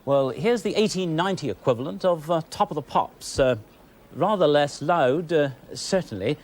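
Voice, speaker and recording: A British man presenting a history programme in a measured, explanatory and slightly wry way. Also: a little high, animated, a little hiss or hum. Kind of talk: television history programme narration.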